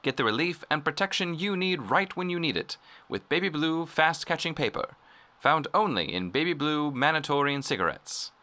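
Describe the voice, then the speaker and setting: A man playing an earnest old-time radio pitchman, delivering a polished, salesmanlike vintage advertisement. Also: lively intonation, normal speed, bright, forceful tone.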